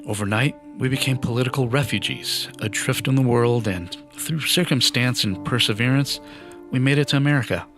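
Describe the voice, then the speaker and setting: Gravelly-voiced man narrating his story. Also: mid-range voice, fairly bright tone, faint music behind. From Iran. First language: Farsi. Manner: earnest, measured storytelling. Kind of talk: scripted narration.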